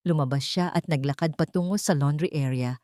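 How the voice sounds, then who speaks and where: A woman's voice: deep voice, a little fast, dark tone, no echo, clean studio sound. A woman with a Filipino accent reading a scripted story as a dramatic audiobook narrator.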